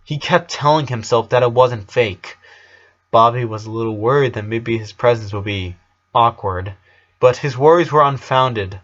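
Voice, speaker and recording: A man's voice: mid-range voice, moderate pace, fairly bright tone, dull audio. A man reading a story aloud as narrator, in an amateur scripted style.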